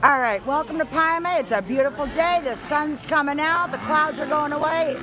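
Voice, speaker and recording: A woman's voice: high voice, moderate pace. A woman commentating live at an outdoor motocross track, chatty and upbeat as she reads out the race order. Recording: loud outdoor noise.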